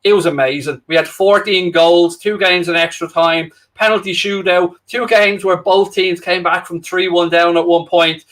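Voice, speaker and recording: A man's voice: high voice, steady tone, moderate pace, few pauses, fairly bright tone. A man in his late thirties hosting a live football watchalong, reading viewer comments and enthusing about the tournament. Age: 30s. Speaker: host.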